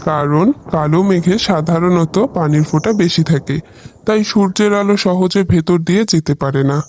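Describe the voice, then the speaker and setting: A man voicing a wise, playful talking rain cloud in an educational cartoon, explaining in a measured way and teasing with questions. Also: fairly high pitch, darkish tone, lightly acted. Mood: patient, explaining.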